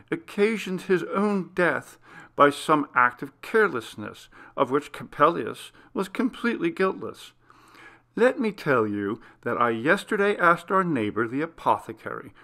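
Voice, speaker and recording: A man reading a classic Gothic story aloud as scripted narration, in a measured, formal literary manner. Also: on the high side, creaky, bright, forceful tone. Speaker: audiobook reader.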